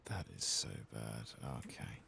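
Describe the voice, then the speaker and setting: Very deep, crackly soft-spoken man. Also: big pitch swings, unhurried, vocal fry. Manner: hushed, casual, murmured. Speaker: gaming ASMR streamer. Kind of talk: soft-spoken ASMR game commentary. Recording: faint background noise.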